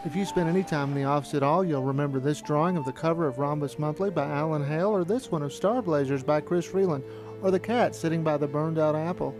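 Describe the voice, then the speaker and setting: A middle-aged American man with a slightly high voice reads scripted documentary narration. Music plays clearly underneath. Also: fast. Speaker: narrator and filmmaker.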